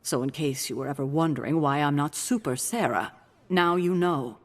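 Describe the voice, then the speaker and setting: An actress playing a weary, proud, self-sacrificing woman mentor in a video game, earnest and measured. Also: low voice, in a large hall, lightly acted. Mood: grave. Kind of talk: dramatic video game dialogue.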